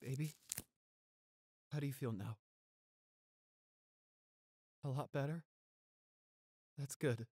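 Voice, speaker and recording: A man playing a gentle, devoted, weakened boyfriend in an intimate roleplay audio drama, speaking softly, haltingly and reassuringly. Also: mid-range voice, breathy edge, dry recording. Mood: tender, pleading. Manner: tender, halting, reassuring, close and soft.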